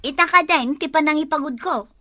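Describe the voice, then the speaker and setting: Actress as blunt village woman, questioning, bright tense voice, Ilocano Filipino accent, dry recording, comedy audio drama. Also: high-pitched, a little fast, no room sound, faint music behind. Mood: plotting. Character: sharp-tongued village woman, questioning and practical.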